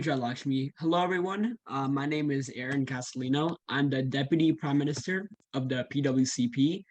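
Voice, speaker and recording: A man speaks politely on a group video call. His voice sits at a middle pitch. The sound is dry, with hardly any room in it. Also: a little mellow, dry recording, rough recording. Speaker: youth parliament deputy minister.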